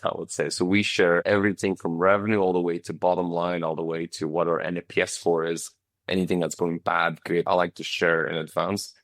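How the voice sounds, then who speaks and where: A man's voice: sits low, vocal fry, no room sound. A man from Flanders with a Flemish Belgian accent, answering conversationally as a podcast guest in an informal way, often quoting imagined dialogue. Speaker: company founder, podcast guest.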